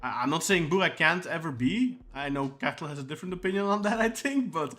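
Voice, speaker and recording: A man's voice: on the high side, expressive, fast talker, bright, forceful tone. A man co-hosting a casual football podcast, chatting and reading viewer comments aloud. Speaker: podcast co-host.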